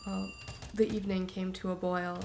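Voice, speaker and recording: An actress playing a guarded adult daughter recounting a family fight as if in a police interview. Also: female voice, medium pitch, little pitch movement, moderate pace, lightly acted. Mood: measured, uneasy. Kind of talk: police interview monologue, film acting. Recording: clear background noise with typing.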